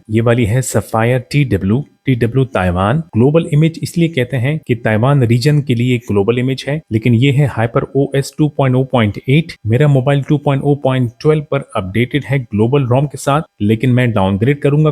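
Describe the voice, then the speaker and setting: A man's voice: medium pitch, dark tone. A man narrating a step-by-step how-to as a tech tutorial presenter, instructional, mixing English technical terms into his speech.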